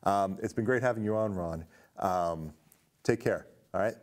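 A man's voice: sits low, normal speed, heavy background noise. A middle-aged man hosting a casual film discussion in an indoor studio. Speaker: host. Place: indoor studio set with curtains.

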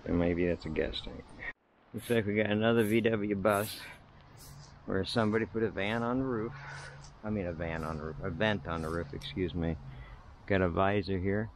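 A man's voice: deep voice, normal speed, a little hiss or hum. A middle-aged American man giving casual, wry, folksy walking-tour commentary as a vlogger on a handheld camera outdoors. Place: outdoors in an overgrown field. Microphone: handheld action camera.

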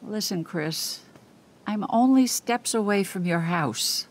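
A concerned older woman from next door, gentle and motherly. She speaks slowly at a mid pitch and keeps asking quiet, caring questions. It is a video game performance. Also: expressive.

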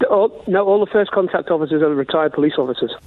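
A man's voice: a little high, quick pace. A man calling a radio phone-in, explaining his point conversationally in an earnest, insistent way. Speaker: phone-in caller. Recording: thin, tinny phone line.

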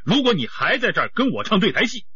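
A husky, commanding police chief. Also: male voice, sits high, very animated, quick pace, sharp tone, no echo, dull audio, full character voice. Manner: bossy, insistent, colloquial scolding. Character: gruff, commanding male police chief, firm but caring. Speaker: actor in a police radio drama. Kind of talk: radio drama acting.

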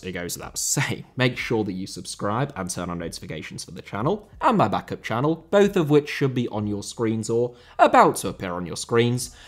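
A man voices a football countdown with dry, wry humour. His voice is nasal from hay fever, and his pitch moves a lot from line to line. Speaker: football video host. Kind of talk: scripted voice-over narration.

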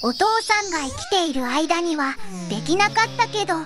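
A woman's voice: very high voice, expressive, moderate pace, over music. A synthetic female voice playing a small, earnest, lonely but determined boy creature in an audio fairy tale, cutesy and stilted. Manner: cutesy, stilted, ends sentences with a verbal tic.

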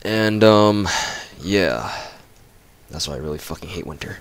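Young male gamer with a stuffy nose, low-pitched and swinging widely; cussing, mocking rant. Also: lively intonation, measured pace, slight background noise. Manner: ranting, profane, mocking. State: congested from a cold. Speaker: gamer commentator. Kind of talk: casual gameplay commentary.

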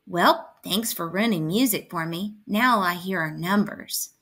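A woman's voice: on the low side, measured pace, fairly bright tone, pitched below the performer's own voice. A woman playing a grumpy, dramatic math skeptic who complains and exclaims in a children's storybook. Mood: grumbling.

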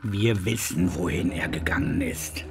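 A man playing an earnest, wary beaver in a German radio drama, dramatic and ominous, with a creaky voice. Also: lowish voice, wildly swooping pitch, unhurried, heavily acted. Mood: grave. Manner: dramatic, ominous, swinging into hushed tones. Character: grave old talking beaver, earnest and wary. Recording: music under the voice.

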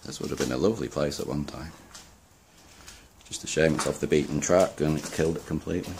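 A man from the UK exploring a dark abandoned pub, talking to his handheld camera in low, muttered asides. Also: fast talker, vocal fry, a little hiss or hum. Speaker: urban explorer. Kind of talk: quiet solo exploring commentary.

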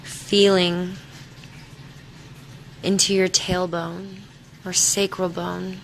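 Slow, even-toned woman guiding meditation. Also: on the low side, slight background noise. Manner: soothing, unhurried, with long pauses. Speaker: guided meditation leader. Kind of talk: guided meditation, body scan.

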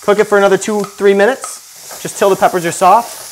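A man's voice: sits high, little pitch movement, brisk, slight background noise, rough recording. Laid-back man cooking in a kitchen. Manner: laid-back, jokey, talks to camera while cooking. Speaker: cooking show host. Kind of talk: casual cooking demonstration. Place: home kitchen, indoors.

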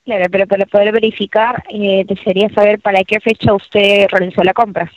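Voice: mid-range voice, level tone, a little fast, lo-fi sound. A customer service agent asking polite, methodical questions over the phone.

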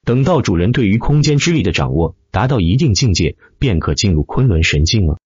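Man voicing a cheerful, husky little girl spirit. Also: on the low side, very animated, fairly quick, a little mellow, dry recording, lo-fi sound, exaggerated voice. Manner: smiling, obedient, explanatory.

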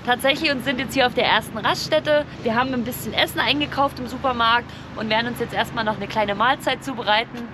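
A woman talks informally as a camping vlogger. She explains things in a chatty, everyday way, with clear outdoor and vehicle noise around her voice. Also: fairly high pitch, fast, hardly any pauses. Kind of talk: casual vlog narration.

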